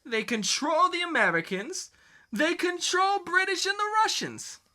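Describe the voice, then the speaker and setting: Comic-book villain played by a man: whiny male dictator, pitched high, swooping, pompous. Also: very animated, unhurried, bright, forceful tone, heavily acted. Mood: grandiose, pompous. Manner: melodramatic, pompous. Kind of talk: amateur comic dramatic reading of a comic book.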